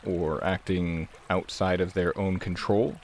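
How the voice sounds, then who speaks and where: A man's voice: low voice, fairly even intonation, unhurried, a little hiss or hum. A man playing a tabletop game, casually planning his character's moves and asking questions.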